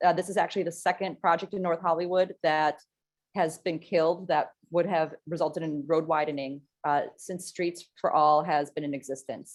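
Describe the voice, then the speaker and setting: A woman with a lowish voice shares group news in an upbeat, plain way. She is speaking at a casual online meeting. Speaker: advocacy group member.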